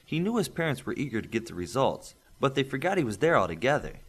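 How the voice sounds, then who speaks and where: A man's voice: mid-range voice, big pitch swings, fast talker, breathy edge. A man reading a scripted audiobook story as its narrator.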